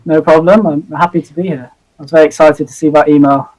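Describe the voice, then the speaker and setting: A man's voice: fairly high pitch, steady tone, quick pace, darkish tone, low-quality recording. A young man in his twenties from Hong Kong, a zoology student, joining a live interview by video call, earnest and eager with many ums. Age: 20s.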